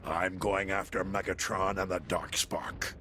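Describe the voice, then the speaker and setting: An actor voicing a noble, resolute robot commander in a video game, grave, solemn and commanding. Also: male voice, sits low, little pitch movement, noisy background. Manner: solemn, commanding, measured wording.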